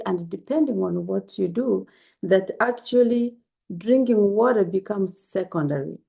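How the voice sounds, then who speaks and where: Woman, very gravelly, mellow, earnest and instructive, casual health vlog. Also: medium pitch. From Kenya. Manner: earnest, repetitive, gently instructive. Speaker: health vlogger. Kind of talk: casual spoken health advice to camera.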